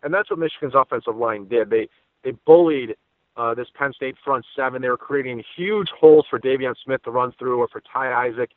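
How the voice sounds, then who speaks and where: A man's voice: medium pitch, a little fast, no room sound. A man giving emphatic, conversational sports analysis as an opinionated guest on a podcast. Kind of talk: sports podcast interview commentary. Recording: dry, phone-like narrow band.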